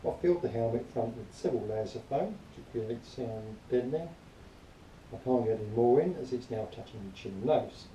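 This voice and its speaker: A man giving an informal spoken review in a rambling, matter-of-fact, grumbling way, partly reading aloud, recorded quietly on a camera some distance away. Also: medium pitch, lively intonation, brisk, muffled recording. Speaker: product reviewer. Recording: distant quiet mic, roomy echo, faint noise.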